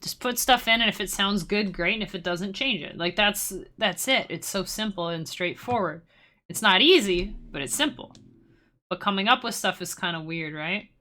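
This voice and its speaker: A woman's voice: mid-range voice, normal speed, bright, forceful tone. A young woman casually teaching music-making on a live stream, rambling and self-deprecating with playful asides.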